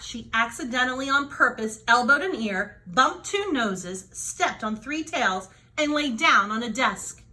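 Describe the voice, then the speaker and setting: A woman's voice: fairly high pitch, pushed and bright, poor audio. A woman storyteller reading a children's book aloud with dramatic emphasis, stretching out key words.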